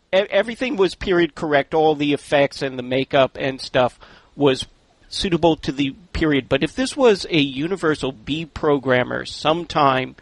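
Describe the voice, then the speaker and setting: A man hosting a casual film roundtable, speaking haltingly with wry, drawn-out emphasis. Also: on the high side, no room sound, rough recording. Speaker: podcast host.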